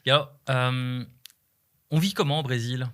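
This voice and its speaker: A man's voice: medium pitch, steady tone, moderate pace, a bit bright. A man hosting a podcast, speaking in a chatty, enthusiastic way and cutting in on his guest. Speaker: podcast host. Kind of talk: casual interview conversation.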